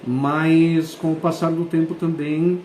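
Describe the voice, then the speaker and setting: Principal, 40s, warm mellow tone, halting delivery, roomy echo. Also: male voice, fairly high pitch, flat delivery, slight background noise. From southern Brazil. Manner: hesitant, pausing often to search for words. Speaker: school principal. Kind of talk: answering interview questions. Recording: echoey room.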